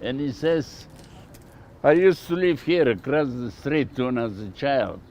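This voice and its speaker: A man's voice: mid-range voice, measured pace, darkish tone. An older man from Bulgaria with a Bulgarian accent, telling anecdotes casually and plainly as a shopkeeper being interviewed. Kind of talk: casual oral storytelling.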